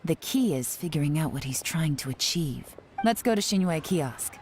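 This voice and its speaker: Cool female spymaster, low voice, calm, crisp orders, faint game music. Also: normal speed, noisy background. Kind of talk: game dialogue.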